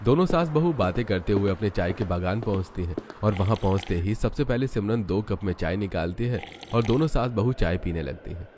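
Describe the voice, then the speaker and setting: Male narrator, low voice, scripted storybook delivery, faint noise behind. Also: animated, a little fast, few pauses.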